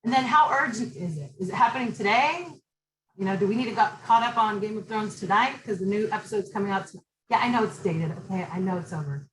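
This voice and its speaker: A middle-aged woman teaching a college class, talking loudly and directly in a chatty way. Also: mid-range voice, quick pace, echoey room, a little hiss or hum, rough recording. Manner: direct, talkative, loud. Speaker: college instructor. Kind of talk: online class lecture.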